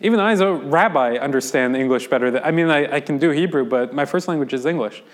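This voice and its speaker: A man's voice: fairly high pitch, fast. An American man, a rabbi, giving an informal lecture to a class and explaining things conversationally with frequent ums and restarts. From United States.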